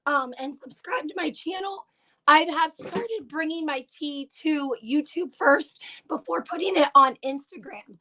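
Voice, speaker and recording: A woman hosting a casual live stream, hoarse from laryngitis, chatting in a confiding way and often clearing her throat. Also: high voice, pushed and bright, dry recording. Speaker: gossip channel host.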